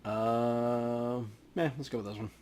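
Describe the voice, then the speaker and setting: A man's voice: medium pitch, steady tone, slow pace, darkish tone, clear, resonant tone. A man casually chatting and bantering as he hosts a video game playthrough. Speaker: let's play host.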